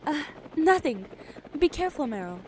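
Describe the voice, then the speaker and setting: A woman's voice: high voice, normal speed, a little hiss or hum, poor audio. An actress playing an earnest, caring young information expert in a radio drama, with a girlish delivery. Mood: indignant, fussy. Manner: girlish, animated.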